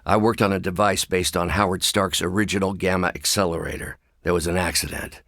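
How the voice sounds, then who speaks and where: Low voiced american scientist hero for a game, kinda anxious n hesitant, dry wit, very dry close recording. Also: male voice, steady tone, a bit bright, dry recording. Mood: regretful, grave. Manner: measured, hesitant, thoughtful, wry. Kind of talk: video game character dialogue.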